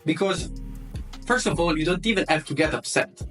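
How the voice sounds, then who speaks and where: A man's voice: a little high, moderate pace, a bit bright, soft background music. Earnest Italian-accented man giving advice. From Italy. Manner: earnest, repeats words for emphasis. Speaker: self-development vlogger. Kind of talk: advice monologue to camera.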